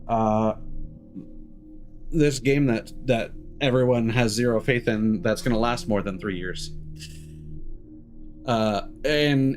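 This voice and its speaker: Cheeky male game streamer over music. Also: medium pitch. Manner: cheeky, sardonic asides. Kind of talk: live game stream, reading in-game text aloud with asides.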